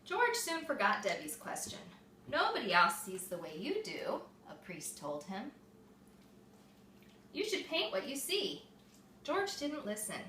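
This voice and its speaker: A woman reading a picture book aloud to young children, expressive and explaining things simply, like a mother at storytime. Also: fairly high pitch, a little slow. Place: echoey room with small children present. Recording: echoey room, distant mic, faint noise.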